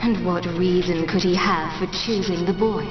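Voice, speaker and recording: A woman playing a solemn, regal lady of a machine people in a video game cutscene, speaking in a stately, formal, measured way. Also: on the low side, steady tone, recorded from a distance, highs cut off, lightly acted. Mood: grave, wistful. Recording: echoey, set back, music behind.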